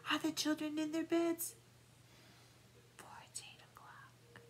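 A middle-aged woman reading bedtime nursery rhymes aloud to young children in a soft, singsong, drawn-out way. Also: sits high, monotone. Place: small room at home, indoors. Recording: noticeable background room noise.

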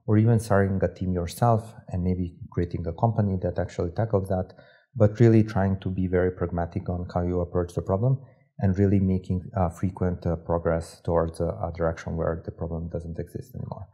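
Young Serbian-born man, deep relaxed voice, hesitant interview guest. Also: fairly quick, slightly rough, muffled recording. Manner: hesitant, many fillers and restarts. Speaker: guest, startup operator. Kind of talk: casual interview talk. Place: studio set with armchairs.

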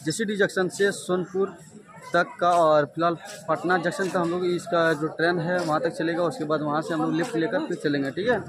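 A young man with a fairly high voice chats to his viewers as friends while walking through a busy railway station. He films himself on a phone held at arm's length, outdoors. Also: steady tone, fairly quick, a crowd in the background. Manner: chatty, addresses viewers as friends. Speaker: travel vlogger. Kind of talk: casual travel vlog narration.

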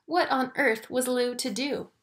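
High-pitched young woman reading a story. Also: unhurried. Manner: expressive, theatrical. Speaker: teacher reading aloud. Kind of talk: animated children's story read-aloud. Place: small indoor home room. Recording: echoey small room.

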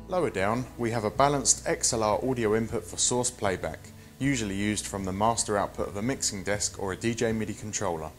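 A man in his thirties presenting a scripted product walk-through in a measured, instructional manner. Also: fairly low pitch.

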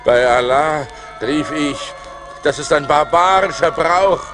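An actor playing an adventurous seafarer who recounts his ordeals theatrically in a German radio play, moving between hushed and forceful delivery. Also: on the high side, takes it slowly, music in the background. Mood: impassioned. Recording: noisy vinyl record transfer.

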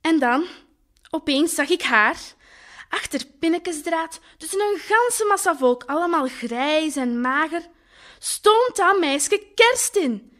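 A woman's voice: very high-pitched, expressive, slow, fairly bright tone, full character voice. A woman with a Flemish accent voicing a naive, wistful talking poppy telling its story in homely, colloquial words for an audio drama. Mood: wondering, longing. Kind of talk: dramatic first-person monologue.

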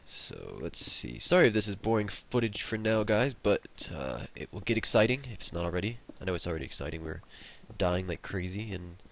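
A man's voice: sits low, very animated, fairly quick, gravelly, few pauses, a little hiss or hum. A young man hosting a casual co-op gameplay session, rambling and joking with mild swearing. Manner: rambling, joking, mildly profane. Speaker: gaming channel host.

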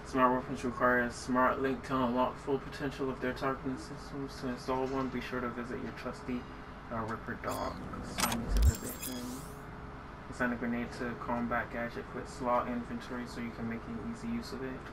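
Man gaming over loud game noise. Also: mid-range voice, monotone, fairly quick. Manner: talks to himself, reads on-screen text aloud. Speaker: gaming video creator playing and commenting. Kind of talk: casual gameplay commentary.

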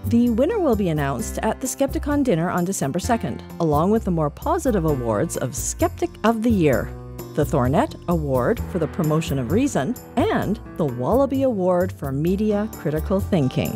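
A woman's voice: lowish voice, very animated, normal speed, dark tone, soft background music. A woman with a Canadian accent presenting a podcast segment, reading aloud with light asides.

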